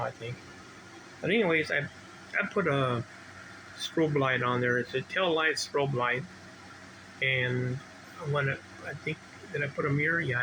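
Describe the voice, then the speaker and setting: A middle-aged or older man giving a casual, unscripted video walkthrough as a hobby collector, rambling and halting as he restarts his sentences. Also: medium pitch. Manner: rambling, halting, self-interrupting. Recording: clear steady background noise, ambient hum.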